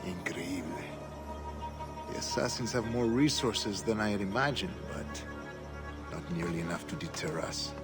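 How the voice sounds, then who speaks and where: An actor playing a solemn, courteous older governor with a Spanish accent and a formal, measured manner, in a video game. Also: male voice, deep voice, close to an everyday voice. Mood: courteous, cautious. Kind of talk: dramatic video game acting. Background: clear background music.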